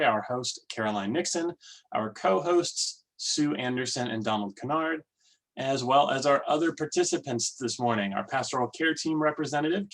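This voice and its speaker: A man leading worship, giving welcomes and readings in a measured, deliberate way. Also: medium pitch, fairly bright tone, rough recording. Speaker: worship leader. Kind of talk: church service welcome and readings.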